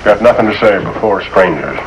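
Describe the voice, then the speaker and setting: Low-voiced, stern backwoods patriarch, played by a man. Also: lively intonation, brisk, muffled recording, natural voice. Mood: bitter, stern. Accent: rural American. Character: stern, proud, vengeful backwoods family patriarch. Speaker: actor. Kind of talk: 1950s television drama acting. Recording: faintly noisy old TV soundtrack.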